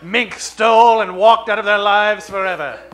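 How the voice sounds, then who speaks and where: A man's voice: high-pitched, normal speed, a bit bright, a little hiss or hum. A man narrating a comic radio play in a dramatic scripted style.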